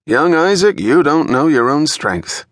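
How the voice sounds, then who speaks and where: A man performs a seasoned middle-aged detective in an audiobook. He is a teasing, mock-pompous mentor with a lifted pitch, captured in a very dry studio sound. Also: big pitch swings, unhurried, fairly bright tone, dry recording. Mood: wry.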